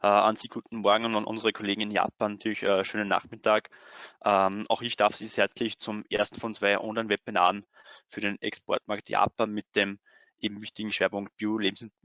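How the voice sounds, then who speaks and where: A man delivers a formal, composed welcome to an online audience. His low voice stays very flat in tone. The audio is dry and a little muffled, like a computer microphone on a call. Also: a little fast, dry recording. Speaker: project manager presenting.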